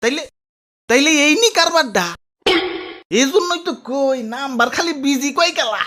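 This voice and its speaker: Performer as a high-pitched hot-tempered cartoon complainer. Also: normal speed, fairly bright tone. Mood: irritated ranting. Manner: scolding, ranting, slangy. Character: hot-tempered, sweaty cartoon complainer ranting at the electricity office. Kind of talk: comic cartoon dialogue. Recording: clear music behind the voice.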